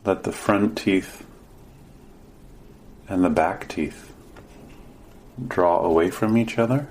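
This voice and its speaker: A man leading a guided meditation as a teacher, giving gentle, sparse instructions with long pauses. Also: low-pitched, a little hiss or hum.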